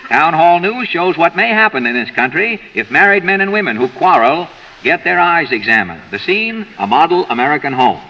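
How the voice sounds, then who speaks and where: A man announcing the scenes as a newsreel-style narrator in crisp, scripted delivery. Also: a little high, big pitch swings, moderate pace, sharp tone, low-quality recording.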